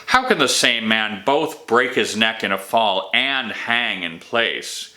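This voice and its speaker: A man's voice: on the low side, expressive, slow, pushed and bright, pitched above the performer's own voice, heavily acted. A man voicing a suspicious, weary king in a fantasy audiobook, questioning and emphatic. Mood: suspicious, insistent. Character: troubled, suspicious young king, weary and doubting.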